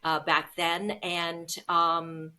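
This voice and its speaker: A woman's voice: lowish voice, little pitch movement, extremely slow, sharp tone. A woman, telling her story as an interview guest with many ums. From Seattle, United States. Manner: chatty, storytelling, frequent ums. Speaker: guest, business founder. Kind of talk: casual interview conversation.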